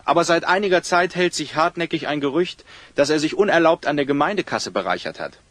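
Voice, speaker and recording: A man's voice: on the high side, animated, a little fast, fairly bright tone, dry recording. A man playing a knowledgeable, helpful villager in an audio drama, explaining things earnestly. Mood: informative, concerned. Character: Nurmius, knowledgeable, helpful male local villager. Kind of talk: German children's audio drama acting.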